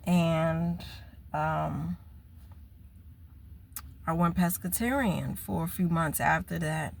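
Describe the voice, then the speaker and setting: A woman in her fifties talking slowly and earnestly to her viewers in a casual personal vlog. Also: low voice, gravelly. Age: 50s. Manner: slow, reflective, earnest. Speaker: vlogger.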